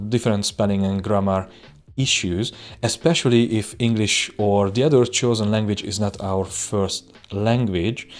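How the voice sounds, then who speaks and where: Low-voiced man. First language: Hungarian. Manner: relaxed, hesitant, frequent "uh" fillers and restarts. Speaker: web design instructor. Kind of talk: screen-recording software tutorial.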